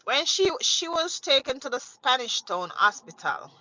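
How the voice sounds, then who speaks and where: A woman's voice: high-pitched, sing-song, moderate pace, bright, forceful tone, no echo, rough recording. A woman from Jamaica, chatting casually on a livestream, rambling and repeating herself as she greets viewers from inside a vehicle. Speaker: livestream host. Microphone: phone mounted on dashboard.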